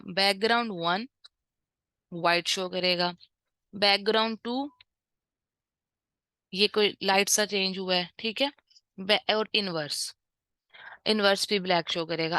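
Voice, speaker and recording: Brief: bright, crisp-voiced woman, casual tutorial presenter, dry close sound. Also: mid-range voice, no echo. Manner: informal, repeats "ठीक है" often, mixes in English terms. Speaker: online course instructor. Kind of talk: screen-share tutorial walkthrough.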